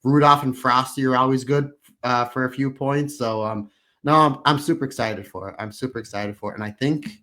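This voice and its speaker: A man in his thirties or forties co-hosting a podcast, a little hoarse, telling long upbeat stories in casual chat. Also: medium pitch, steady tone, brisk, pushed and bright. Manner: rambling, upbeat storytelling with frequent restarts. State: slightly hoarse. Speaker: podcast co-host.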